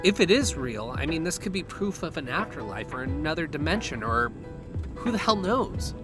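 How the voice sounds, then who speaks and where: Easygoing young man with lively pitch played by an actor. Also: normal speed, music in the background. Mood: thoughtful. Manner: casual, slangy. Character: easygoing young man, curious, open-minded buddy. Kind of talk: conversational audio drama acting.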